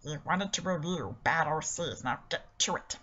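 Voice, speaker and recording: An impatient, scolding voice inside someone's head, put on by a woman for a comedic reading. It is dropped low and hushed, with curt, bossy phrasing. The sound is somewhat muffled. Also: lively intonation, moderate pace, full character voice.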